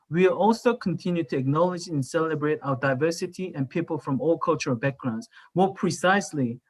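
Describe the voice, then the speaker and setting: Korean-native guy reading out his council pitch, formal, a bit high pitched. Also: rough recording. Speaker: council candidate. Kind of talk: prepared campaign statement.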